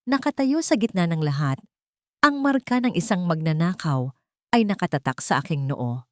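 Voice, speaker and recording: A woman narrates a scripted first-person dramatic story in a solemn, measured, emotive way. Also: on the low side, animated, darkish tone, dry recording, studio quality.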